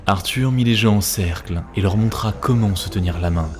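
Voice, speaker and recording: A man's voice: on the low side, moderate pace. A man narrating a scripted story for a cartoon, as a storyteller. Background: music.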